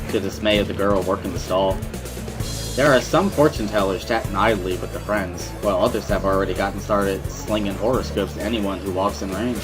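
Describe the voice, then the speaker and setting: A man reading first-person visual novel narration in an introspective storytelling manner. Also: lowish voice. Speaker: dramatic reader of a visual novel.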